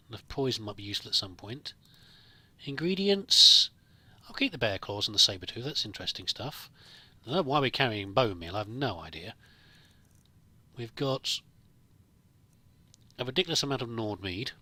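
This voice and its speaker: A man's voice: on the low side, pushed and bright. A man giving casual, improvised roleplay commentary over a video game, musing and thinking aloud as he plays. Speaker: gamer, let's play host.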